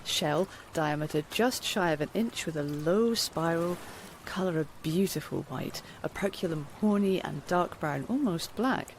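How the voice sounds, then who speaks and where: A woman playing a precise, absorbed naturalist in an audio drama, with a measured, scholarly manner. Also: fairly low pitch, slight background noise, poor audio. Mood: absorbed, studious.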